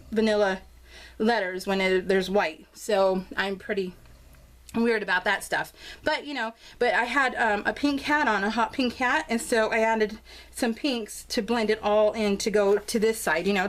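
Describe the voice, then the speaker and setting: An American woman chatting casually and unscripted as a crafting vlogger, with a bubbly, gushing, rambling manner. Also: fairly high pitch. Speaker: crafting vlogger showing scrapbook pages.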